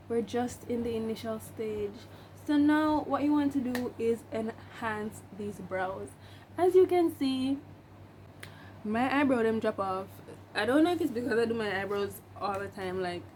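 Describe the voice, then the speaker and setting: A young woman, hoarse while getting over the flu, talks through a makeup tutorial in a chatty, emphatic way with joking asides. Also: a little high, darkish tone, a little hiss or hum. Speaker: makeup vlogger, licensed cosmetologist.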